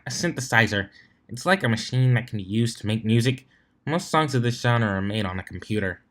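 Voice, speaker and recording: A man's voice: mid-range voice, expressive, a little fast, a bit bright, natural voice. A man voicing a studious, earnest young female unicorn pony who explains things in a bookish way. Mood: explanatory.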